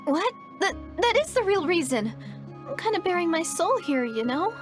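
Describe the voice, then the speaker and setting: Earnest high-voiced young woman. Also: moderate pace, music in the background. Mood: nervous, earnest. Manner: hesitant, earnest, expressive. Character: anxious, apologetic young woman, earnest injured refugee. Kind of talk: video game voice acting.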